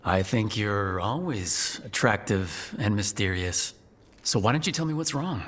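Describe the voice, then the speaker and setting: A man playing a steady, protective, gently teasing hero in a fantasy video game, speaking conversationally. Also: on the low side, measured pace. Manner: reassuring, conversational. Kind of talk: naturalistic video game acting.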